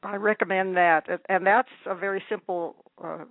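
A man hosting a radio interview, asking questions in a halting way with many restarts. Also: sits high, fairly even intonation, gravelly, no echo. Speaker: radio show host. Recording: tinny phone-line sound.

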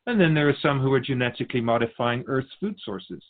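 A man speaking as a spiritual guide, explaining things in a measured, didactic, conversational way. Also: medium pitch, lively intonation, narrow, tinny audio.

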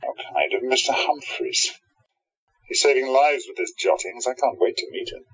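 A man's voice: medium pitch, animated, moderate pace, a bit bright, breathy edge, highs cut off. A man playing a weary, irritable, sardonic hero in a radio play, with dry sarcasm. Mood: dry, tired.

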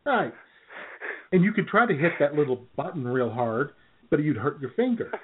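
A man's voice: a little high, sing-song, normal speed, slightly rough. A man co-hosting a podcast, chatting casually and telling rambling jokey stories. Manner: joking, rambling storyteller. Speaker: podcast co-host. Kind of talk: casual comedic chat. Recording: tinny phone-like sound.

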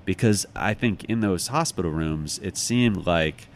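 A man with an American accent and a low-pitched voice talks in a reflective, conversational way. He sounds like an author in an interview, thoughtful and often searching for the right phrase. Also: a little slow, a little hiss or hum. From United States, Chicago.

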